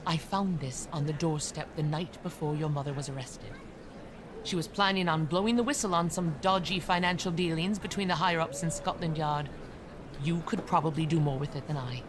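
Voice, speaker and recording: A woman's voice: deep voice, brisk, natural voice. A woman playing a kindly, protective middle-aged housekeeper in an audio drama, motherly and earnest. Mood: grave, protective. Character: kindly older housekeeper, a protective former matron. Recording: clearly noisy background ambience.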